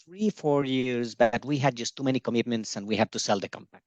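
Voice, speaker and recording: A middle-aged man originally from Colombia, an education agency founder talking in an interview, pausing often with fillers and restarting his sentences. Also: medium pitch, darkish tone, no room sound, dull audio. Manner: hesitant, many fillers and restarts. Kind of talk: casual video-call interview.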